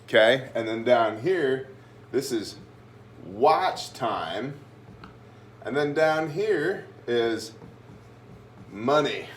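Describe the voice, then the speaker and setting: A man's voice: mid-range voice, expressive, very slow, long pauses, a little hiss or hum. A man hosting an informal video class, talking in a blunt, slangy, emphatic way with a slightly phlegmy voice. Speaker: host of prepper video class. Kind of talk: informal lesson with whiteboard, to camera.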